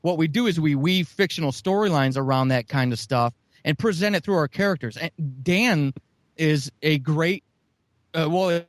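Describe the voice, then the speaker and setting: A man's voice: a little high, moderate pace, no room sound. Rambling, swearing man on a dry mic. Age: middle-aged. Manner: rambling with restarts, profane. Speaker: podcast guest, audio drama writer. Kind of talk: casual podcast interview.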